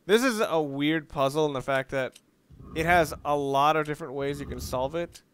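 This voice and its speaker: A man's voice: a little high, moderate pace, slight background noise. A man giving casual video game commentary, rambling along and thinking aloud as he plays. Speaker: let's play host.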